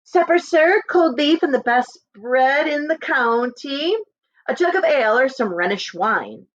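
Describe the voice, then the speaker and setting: Lady reader doing a practical polite tavern keeper woman from olden days, brisk, pitched up, dry audio. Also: expressive, dry recording, lo-fi sound. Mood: eager to serve. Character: bustling, practical medieval woman innkeeper.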